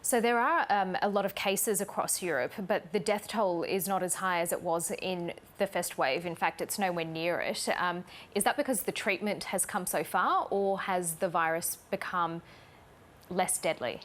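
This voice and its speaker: Woman, news anchor, direct questions, somewhat low-pitched, crisp. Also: normal speed. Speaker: news presenter. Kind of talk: news interviewing and reading.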